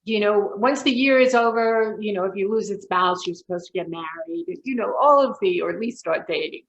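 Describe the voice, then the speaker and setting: A woman hosts the conversation and asks casual, probing questions. She restarts her phrases as she goes, at a middle pitch, and her audio is a little dull and muffled. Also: normal speed. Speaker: interviewer, organization director.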